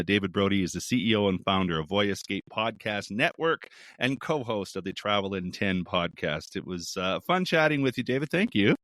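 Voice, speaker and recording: A middle-aged man hosting a travel podcast, chatty and quick to laugh. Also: lowish voice, fairly bright tone, no echo, clean studio sound. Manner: friendly, laughs often, chatty. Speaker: podcast host. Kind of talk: casual interview and show outro.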